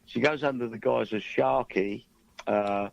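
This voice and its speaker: A man in his fifties with a creaky, gravelly voice at medium pitch. He talks casually as an interview guest, telling stories in a halting, modest way. The recording is slightly dull and lo-fi. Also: no room sound. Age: 50s. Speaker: musician guest. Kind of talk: casual radio interview chat.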